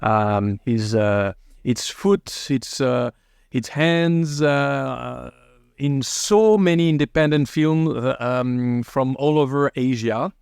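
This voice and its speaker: A man's voice: medium pitch, slow, creaky, darkish tone, clean tone, dry recording, clean studio sound. A man hosting a podcast interview, speaking casually with many fillers and restarts. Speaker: podcast host.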